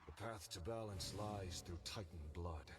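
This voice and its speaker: Grim game samurai, super deep n slow, buried quietly under noisy game sound. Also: male voice. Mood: grim resolve. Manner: solemn, measured, formal. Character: solemn, grim samurai warrior bent on vengeance. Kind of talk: video game character voice lines.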